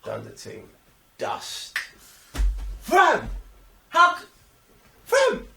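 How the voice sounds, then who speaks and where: A man with a London accent co-hosting a slangy, casual film discussion, expressive and often checking that he is understood. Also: very high voice, drawn-out words, vocal fry, noisy background. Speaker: film review podcast co-host. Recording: echoey room, distant microphone.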